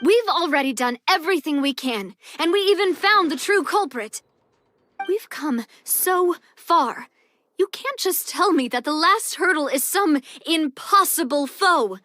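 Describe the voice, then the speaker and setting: A woman's voice: sits high, animated, a little slow, pushed and bright, dry recording, faint music behind, full character voice. An actress playing a theatrical, earnest young woman with a pleading, dramatic manner in a video game cutscene. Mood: distraught defiance.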